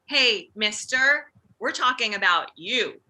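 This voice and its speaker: A woman acting an excitable, jealous, meddling middle-aged wife and mother in a comedy script read, blunt and needy. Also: on the high side, slow pace, exaggerated voice. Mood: indignant, jealous.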